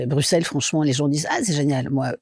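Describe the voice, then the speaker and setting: A middle-aged woman from Belgium, an actress and director, chatting lively in an interview with many fillers and restarts. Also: deep voice, fast talker, husky, no echo, clean studio sound. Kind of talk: casual interview chat.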